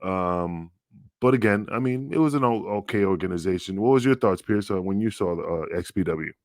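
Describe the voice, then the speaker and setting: Low-voiced man, chatty podcast host. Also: animated, normal speed, no echo, studio quality. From United States. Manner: hype-man intro, loose and chatty with many fillers. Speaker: podcast host, former wrestler. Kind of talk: casual podcast chat.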